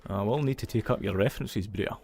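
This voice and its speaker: An actor playing a friendly, teasing Scottish visitor centre manager in an audio drama, easygoing and chatty, with music behind him. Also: male voice, fairly low pitch, fast talker, darkish tone, husky. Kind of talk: naturalistic audio drama dialogue.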